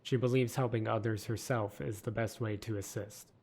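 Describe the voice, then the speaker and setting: A man narrating game lore from a script in a measured, steady reading. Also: fairly low pitch, gravelly.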